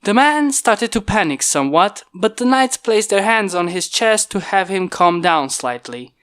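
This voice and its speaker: An amateur reader narrates a fan-fiction chapter at an even storytelling pace, voice neither high nor low. The home microphone is failing and lets through occasional pops. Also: a little slow, clean tone. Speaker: fanfiction reader.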